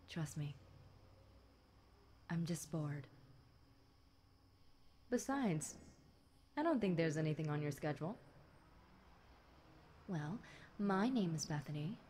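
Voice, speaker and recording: A woman's voice: sits low, takes it slowly, long pauses, mellow. A woman voicing a bored, chatty demon girl in an audio roleplay, casual and teasing, talking straight to the listener. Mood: bored, friendly. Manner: casual, teasing, conversational with light chuckles. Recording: clear background noise.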